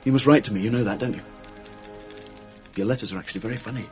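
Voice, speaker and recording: Actor as a wistful English schoolboy, music underneath. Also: male voice, lowish voice, quick pace, close to an everyday voice. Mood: resigned, affectionate. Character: wistful, intense English public schoolboy in late teens. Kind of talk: 1980s radio drama acting.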